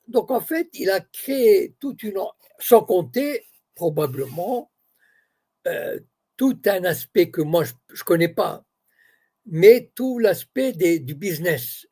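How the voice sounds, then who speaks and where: A man's voice: sits high, warm tone, husky. A man from Morocco whose first language is Arabic, answering questions as an interview guest and explaining point by point with many restarts.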